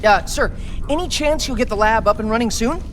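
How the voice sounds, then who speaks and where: A polite ship's scientist speaks in a high, hesitant voice. Clear ambient noise surrounds him, as in a video game scene. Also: male voice, lively intonation, brisk, fairly bright tone. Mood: hopeful. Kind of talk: video game cinematic acting.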